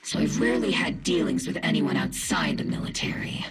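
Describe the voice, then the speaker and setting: A woman playing a gruff, seasoned military captain in a video game, with a gravelly voice and a dry, guarded, authoritative manner. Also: fairly quick, close to an everyday voice. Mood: guarded, stern. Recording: music behind the voice.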